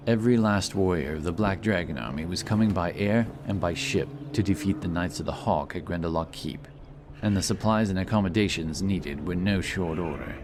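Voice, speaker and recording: A man narrating a scripted fantasy audiobook with a measured, dramatic storytelling manner. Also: low-pitched, noisy background. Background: music.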